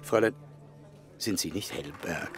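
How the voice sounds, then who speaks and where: A man's voice: sits low, normal speed, lightly acted. An actor playing a suave, self-assured German ex-military man in a radio drama, formal and courtly. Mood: smooth, courtly. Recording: studio radio drama, faint music underneath.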